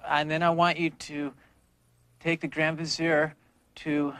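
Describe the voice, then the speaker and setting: Timid, pleading, high-voiced young lad. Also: male voice, level tone, slow, fairly bright tone, clear, resonant tone, dry recording. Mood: nervous requesting. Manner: hesitant, pleading. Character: timid, lovesick young man, Aladdin. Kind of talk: fairy-tale TV acting.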